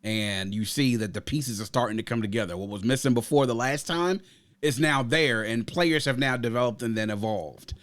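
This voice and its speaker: A man's voice: mid-range voice, normal speed, fairly bright tone. A man hosting a casual podcast album review, talking at length in winding sports analogies and steering the discussion. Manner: long rambling sports analogies; leads the discussion, asks others for picks. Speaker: podcast host. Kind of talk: casual music review chat.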